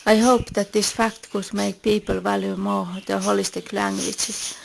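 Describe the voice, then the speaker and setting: A woman with a Finnish accent, a native Finnish speaker, reading a personal essay in a measured, deliberate and earnest way. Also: medium pitch, little pitch movement, gravelly, a little hiss or hum, rough recording. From Finland.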